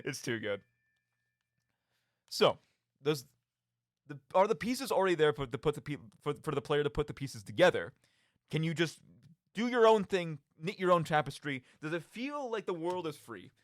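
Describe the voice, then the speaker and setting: A man with a slightly high voice and very animated, swooping intonation presents a games commentary show. He is theatrical and talks straight to the listener, throwing out rhetorical questions. The sound is close and dry. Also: moderate pace, pauses often, no echo. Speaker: podcast host. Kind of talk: radio monologue, commentary on video games.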